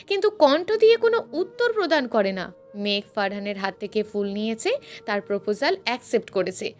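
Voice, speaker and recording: A woman reading a scripted story as a narrator, with dramatic, emotive delivery. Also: fairly high pitch. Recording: music bed under the narration. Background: background music.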